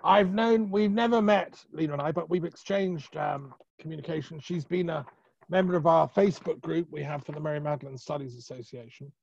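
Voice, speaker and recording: A man hosting an online conference and introducing a speaker informally, halting and often restarting, over muffled call audio. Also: fairly high pitch, gravelly, darkish tone.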